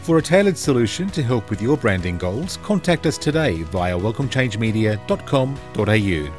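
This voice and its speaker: A man's voice: fairly low pitch, big pitch swings, normal speed, darkish tone, soft background music. A man co-hosting a podcast, wryly feeding questions and laughing easily. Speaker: podcast co-host. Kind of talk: scripted podcast chat with banter. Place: recording studio.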